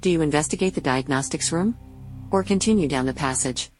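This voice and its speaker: Low-voiced woman reading game prompts. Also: fairly quick, music in the background. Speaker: game system announcer.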